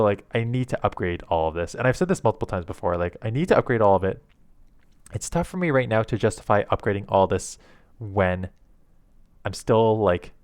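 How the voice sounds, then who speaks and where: A man, rambling casually to camera in a monotone, low-key way on an old headset mic at his home desk. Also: no echo. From Canada. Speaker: YouTube content creator. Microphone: old headset microphone.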